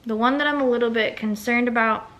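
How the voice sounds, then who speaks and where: A young woman chatting casually at home in a vlog, rambling and thinking out loud about her day and small business work. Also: fairly high pitch, steady tone, fast talker, dull audio. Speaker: vlogger and small business owner.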